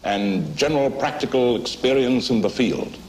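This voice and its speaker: A man's voice: mid-range voice, lively intonation, normal speed, darkish tone. A man speaking as a scientist in an archive recording, making a formal prepared statement. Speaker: scientist spokesman in archive interview. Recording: noisy archive film sound.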